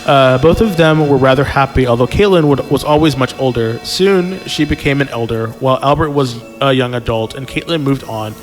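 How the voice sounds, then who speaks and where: A man's voice: mid-range voice, a little fast, few pauses, soft background music. A man hosting a comedy podcast, reading a story aloud and breaking in with incredulous, sweary reactions. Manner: exclamatory, incredulous, sweary asides. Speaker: podcast host and story reader.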